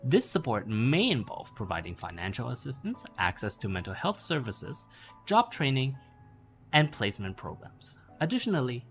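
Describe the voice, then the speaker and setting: A man reading a scripted explanatory essay aloud in a measured way, stressing the openings of sentences. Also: mid-range voice, big pitch swings, fairly bright tone, soft background music. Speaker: narrator reading a bedtime-story style essay. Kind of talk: scripted narration read aloud.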